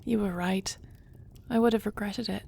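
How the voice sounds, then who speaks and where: A woman's voice: medium pitch, fairly even intonation, normal speed, gravelly, mellow, slightly rough. A woman playing a devoted dragon queen and wife, fierce yet tender, speaking formally and intimately in an audio roleplay. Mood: tender, relieved. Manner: intimate, formal storybook speech.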